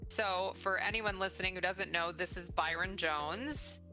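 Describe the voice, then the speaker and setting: Bright-voiced middle-aged woman interviewing. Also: fairly low pitch, a little slow. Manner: enthusiastic, encouraging. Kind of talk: casual conversational interview. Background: faint music.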